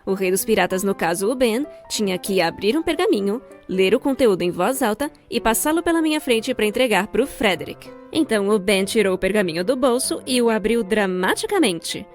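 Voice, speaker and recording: A woman's voice: mid-range voice, expressive, a little fast, few pauses, faint music behind. A young adult woman with a Brazilian accent giving scripted, comedic storytime narration in a theatrical, wry way. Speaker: storyteller, fan-dub voice actor.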